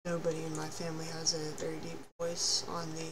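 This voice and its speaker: A teenage guy from Texas with a high, youthful voice, chatting loosely while he plays a game on stream. He rambles and pokes fun at himself in a flat, level tone. His headset mic picks up a clear hiss of background noise. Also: a little fast. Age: late teens. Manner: rambling, self-deprecating, laid-back. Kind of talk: casual live-stream chat while playing. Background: ambient noise, thunder outside.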